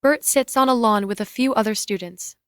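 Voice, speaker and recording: A woman's voice: on the high side, a little fast, no room sound, clean studio sound. A woman narrating the scene directions of a script in a scripted dramatic reading.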